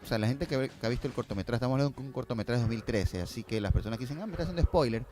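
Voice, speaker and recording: A man's voice: medium pitch, lively intonation, racing, a little mellow, soft background music. A man speaking as a guest filmmaker in casual conversation, explaining and telling stories, often repeating phrases for emphasis.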